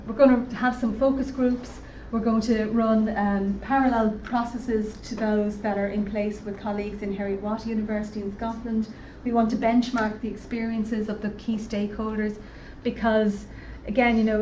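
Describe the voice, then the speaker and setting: A woman's voice: medium pitch, a little mellow. A woman presenting research at a roundtable, talking conversationally with lots of "you know". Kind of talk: conference presentation. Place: conference room at a roundtable event. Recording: distant, dull lo-fi sound with faint noise.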